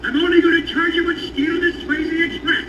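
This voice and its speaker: Actor playing a flippant, very high-voiced cop. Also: male voice, level tone, a little fast, lo-fi sound. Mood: weary, brash. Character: male cop, bargaining, unhurried, flippant lawman. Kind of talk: comedic screen dialogue. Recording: echoey, distant, noisy.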